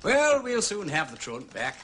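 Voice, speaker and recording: An actor playing an urbane aristocratic gentleman sleuth with an upper-class English accent, drawling and probing with theatrical understatement in a radio drama. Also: high-pitched, wildly swooping pitch, measured pace, a little hiss or hum, exaggerated voice.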